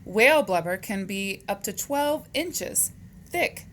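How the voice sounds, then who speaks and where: Female children's read-aloud storyteller. Expressive, swooping intonation, leisurely delivery, question-and-answer style for little listeners, slightly noisy recording. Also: medium pitch, slow. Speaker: storyteller reading a children's picture book aloud.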